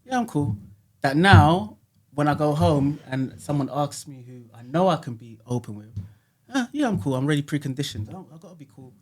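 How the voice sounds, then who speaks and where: Man, somewhat high-pitched, earnest, reflective, repeats words, podcast guest. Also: sing-song, a little fast. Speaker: music artist guest. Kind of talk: casual podcast conversation. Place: podcast studio.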